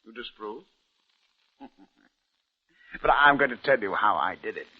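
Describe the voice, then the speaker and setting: Boastful schemer, swooping husky voice. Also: male voice, on the low side, animated, measured pace. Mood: boastful, cold. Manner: boastful, self-satisfied, theatrical. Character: boastful, scheming male businessman, smug killer. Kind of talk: 1950s radio drama acting. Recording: muffled vintage radio broadcast.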